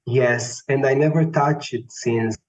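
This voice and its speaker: A man in his thirties with a Romanian accent, a classical tenor answering interview questions slowly and thoughtfully, often searching for words. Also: mid-range voice, steady tone, a loud crowd. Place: Vienna. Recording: dull lo-fi sound, faint music.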